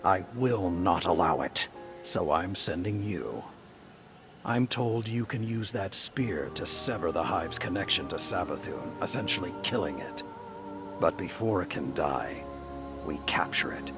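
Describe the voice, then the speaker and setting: A man playing a stern, battle-hardened older warlord in a video game, with a grave, commanding, deliberate delivery. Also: low voice. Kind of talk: dramatic video game character acting.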